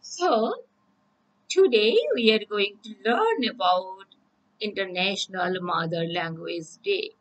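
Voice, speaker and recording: A woman's voice: medium pitch, lively intonation, low-quality recording. A woman teacher, giving a recorded English lesson and often checking in with "okay?". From Bangladesh. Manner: slow, repeats "okay?" to check understanding.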